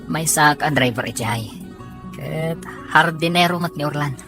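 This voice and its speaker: A woman's voice: deep voice, unhurried, loud music behind the voice. A woman voicing a modest, hardworking male driver in a scripted Ilocano radio drama.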